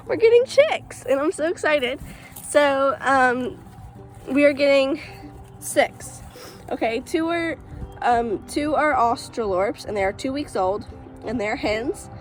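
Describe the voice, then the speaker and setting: A chatty woman with a fairly high voice, a little stuffy and congested. She talks excitedly, with plenty of "um"s, while filming outdoors beside a shed. Faint music plays softly underneath. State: stuffy from allergies. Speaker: farm vlogger. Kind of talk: casual vlog.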